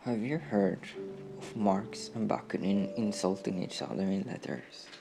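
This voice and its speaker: Low, slow, creaky-voiced man. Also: music in the background, low-quality recording. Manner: gentle, coaxing, slow and unhurried. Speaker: roleplay audio performer playing a caring comrade. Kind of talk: soft, intimate comfort roleplay.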